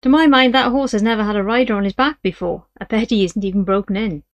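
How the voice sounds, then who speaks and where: A woman's voice: medium pitch, brisk, no room sound, lo-fi sound, close to an everyday voice. Woman doing a blunt, exasperated man. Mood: gleeful. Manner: animated, blunt. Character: exasperated man, horse owner's partner.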